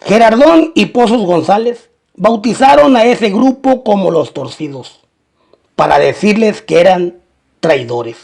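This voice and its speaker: Man narrating, high-pitched gravelly voice. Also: expressive, measured pace, a little mellow. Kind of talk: scripted documentary-style crime storytelling.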